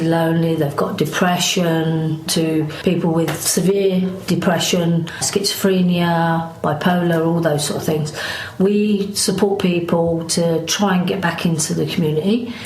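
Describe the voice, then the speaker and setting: Low-voiced woman in an echoey room. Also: steady tone, a little slow, a little mellow. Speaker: mental health service manager. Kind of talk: interview answers, explanatory. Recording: echoey room with background noise.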